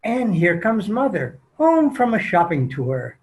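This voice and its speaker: A man's voice: sits high, wildly swooping pitch, a little slow. A man reading scripted old-time radio announcements as the show's announcer in a virtual table read. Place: remote video call.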